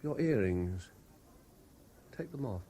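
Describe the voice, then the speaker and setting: A domineering, haunted lover, insistent and brooding. His voice swings widely in pitch, in a 1970s radio play with clear background noise. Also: lively intonation, slow pace, mellow. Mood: curt, commanding. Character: obsessed, domineering man haunted by a dead lover. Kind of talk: radio drama acting. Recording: noisy 1970s radio broadcast.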